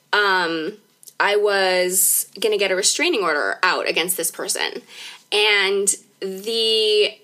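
A woman's voice: mid-range voice, sharp tone. A woman in her thirties hosting a podcast, talking casually and candidly in an informal, conversational way. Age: 30s. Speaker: podcast host.

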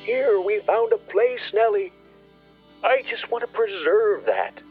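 A grieving, proud farmer in a radio drama. His slightly high voice moves widely in pitch as he speaks slowly and ruefully, with music underneath. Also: animated, slightly rough, narrow, tinny audio, full character voice. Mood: mournful, wistful. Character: grieving, bitter rural farmer, proud and nostalgic. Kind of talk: radio play monologue.

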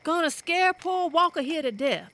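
A chiding pioneer countrywoman in a radio drama. Her high voice is very lively in pitch, folksy and rural American. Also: normal speed, full character voice. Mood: chiding, reassuring. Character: chiding, reassuring frontier countrywoman.

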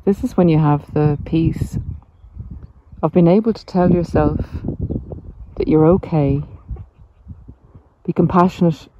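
A woman's voice: low-pitched, steady tone, measured pace, pauses often, warm tone, lo-fi sound. Woman doing a walk and talk pep talk, emphatic and informal, noisy outdoors with clicks. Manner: emphatic, encouraging, informal, addressing viewers directly. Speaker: coach and vlogger. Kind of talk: walk-and-talk motivational vlog. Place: outdoors on a hillside walking trail.

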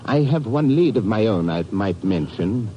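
A man acts a suave Indian police inspector in a vintage radio thriller. The voice is very low and mellow with expressive swings, formal and dryly ironic, under faint hiss. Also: normal speed, highs cut off, lightly acted. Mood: dry, measured. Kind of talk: 1950s radio drama acting. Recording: faintly noisy old radio recording.

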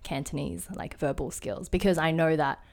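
A woman's voice: low-pitched, fairly even intonation, moderate pace, vocal fry, darkish tone. A young Australian woman with an Australian accent, a podcast guest chatting casually and trailing off with fillers. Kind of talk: casual interview chat.

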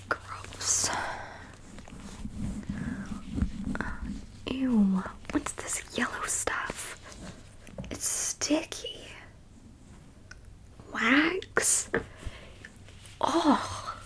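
A woman playing a haughty, curious alien examiner in a whispered ASMR roleplay, musing slowly and clinically with blunt asides. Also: high voice, heavily acted, low-quality recording. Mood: disgusted. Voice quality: soft, breathy whisper. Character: curious, easily disgusted, haughty alien abductor examining a human.

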